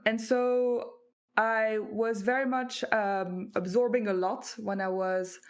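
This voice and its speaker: A woman's voice: fairly high pitch, steady tone, slow. A woman from France, a native French speaker, speaking as a guest author and recounting her path in a thoughtful, reflective way with hesitant fillers. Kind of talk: conversational interview.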